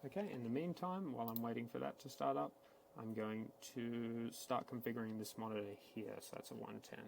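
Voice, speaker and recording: Man, mid-pitched, creaky voice, casual matter-of-fact tech walkthrough, unscripted, typing and mouse clicks behind. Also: steady tone, a little fast, slight background noise. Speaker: tech support instructor. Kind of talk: screen-recorded software tutorial, unscripted walkthrough.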